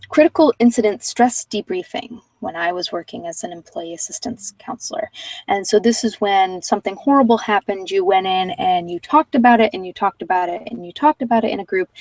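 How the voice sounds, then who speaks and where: A woman talking informally and unscripted on an ASMR meditation channel, thinking aloud in a hesitant, reflective, rambling way with pauses. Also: mid-range voice, a little mellow, no room sound, far from the mic, rough recording. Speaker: meditation guide and former social worker.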